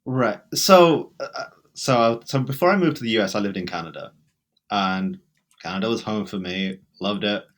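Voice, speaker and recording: A man's voice: fairly low pitch, normal speed, a bit bright. A man with a British accent, talking emphatically as a guest in an interview, in bursts with restarts. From England. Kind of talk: conversational interview.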